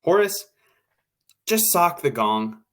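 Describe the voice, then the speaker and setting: A man's voice: on the high side, very animated, takes it slowly, a bit bright, heavily acted. A man playing an impatient radio director in a 1940s-style drama, brusque, slangy and commanding. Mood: impatient, bossy.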